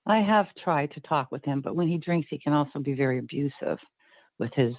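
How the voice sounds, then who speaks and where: A woman's voice: sits low, expressive, fairly quick, no echo. A middle-aged woman sharing personal things casually and earnestly over a video call. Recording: very thin, phone-like call audio.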